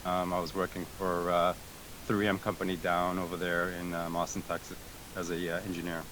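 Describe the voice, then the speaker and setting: A man's voice: low-pitched, monotone, fairly quick, a bit bright, slight background noise, low-quality recording. A man born in Greece answering interview questions over a voice chat, hesitant, with many fillers and restarts. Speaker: guest, tech support team member. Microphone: remote voice chat call.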